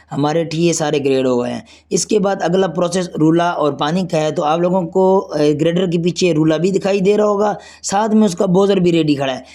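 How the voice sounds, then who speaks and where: A hoarse man with a Pakistani Urdu accent, a construction site engineer walking an outdoor road works and explaining each step to his vlog viewers. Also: a little high, a little mellow. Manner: explanatory, pointing things out step by step.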